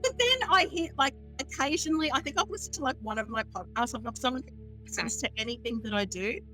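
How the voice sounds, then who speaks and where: A woman's voice: sits high, lively intonation, fast, bright, forceful tone, faint music behind, low-quality recording. A chatty, bubbly woman, a guest astrologer on a podcast, who laughs often. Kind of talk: casual podcast chat.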